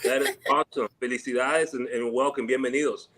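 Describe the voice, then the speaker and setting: An energetic man hosting a panel discussion, casual and slangy. He rambles a bit as he hands the floor to each guest. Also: mid-range voice, fast talker, rough recording. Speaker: panel moderator. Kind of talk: casual panel moderation, mixing in Spanish.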